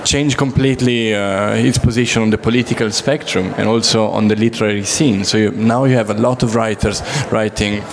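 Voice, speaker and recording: A man on a literature panel, speaking in a measured, reflective way with pauses. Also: on the low side, steady tone, creaky, a crowd in the background. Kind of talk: panel discussion. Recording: loud traffic noise behind.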